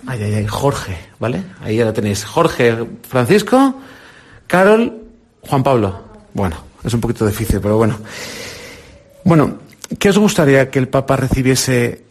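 Husky middle-aged Spanish presenter, upbeat quizmaster with a children's group. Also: male voice, mid-range voice, very animated. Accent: Spain Spanish. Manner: encouraging, quizzing with quick questions. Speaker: radio presenter. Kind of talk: quiz with children on air. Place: indoor visit with a children's group.

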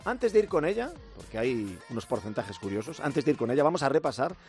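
A man hosting a radio sports news bulletin, brisk and lively, with offhand asides. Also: mid-range voice, soft background music. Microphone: studio microphone.

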